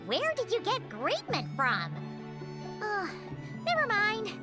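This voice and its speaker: A tiny, excitable companion sprite in a video game, chattering with bubbly energy. The voice is very high and swoops around a lot. Game music plays clearly underneath. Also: female voice, takes it slowly, heavily acted. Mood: teasing. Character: tiny chirpy non-human companion sprite. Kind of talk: game voice acting. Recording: game dialogue over music. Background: game score music.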